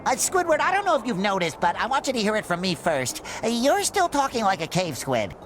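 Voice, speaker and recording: A man voicing a cheerful, naive young sea sponge hero in a cartoon game, with a squeaky nasal voice and an earnest, excitable manner. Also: fairly quick, soft background music, heavily acted. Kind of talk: animated cartoon game voice acting.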